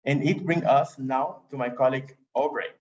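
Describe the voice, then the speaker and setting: A man's voice: mid-range voice, fairly even intonation, moderate pace, darkish tone, highs cut off, slight background noise. A man from Brazil with a Brazilian Portuguese accent, a recruiter presenting a webinar in an upbeat way and speaking to the audience as "guys". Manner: upbeat, addresses the audience as "guys", slightly non-native grammar.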